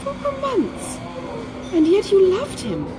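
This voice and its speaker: A woman's voice: extremely high pitch, huge pitch swings, slow, background noise, voice pushed up, exaggerated voice. A woman voicing a passionate, distressed young sister in an audiobook, exclaiming with emotion. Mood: tearful disbelief.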